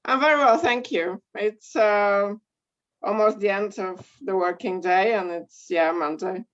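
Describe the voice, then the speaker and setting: A woman from Bulgaria, telling her career story as a guest in an online interview, measured with many pauses. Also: mid-range voice. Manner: measured, frequent ums and pauses. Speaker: guest speaker. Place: home or office in Belgium. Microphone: video call. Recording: dull lo-fi call audio.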